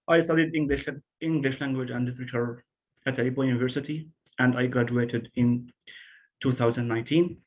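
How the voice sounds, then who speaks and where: Slow-speaking young man. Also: mid-range voice, lo-fi sound. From Syria. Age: 20s. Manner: slow, careful, formal. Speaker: storyteller guest. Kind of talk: prepared personal story.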